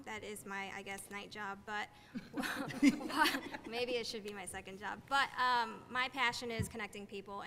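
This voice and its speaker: A woman from Texas introducing herself to an audience on a panel in a casual, self-joking way. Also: pushed and bright. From Texas, United States. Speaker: panelist, executive director. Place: large reverberant event room. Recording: reverberant hall, faint rumbling noise.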